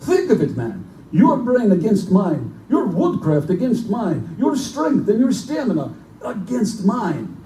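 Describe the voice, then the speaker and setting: A man's voice: high voice, wildly swooping pitch, measured pace, mellow, exaggerated voice. A man playing a genteel, pompous general who hunts men for sport, in a live theatrical horror drama. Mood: gleeful, courtly. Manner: urbane, pompous, condescending. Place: live performance in a bookstore. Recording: distant, echoey live room recording with noise.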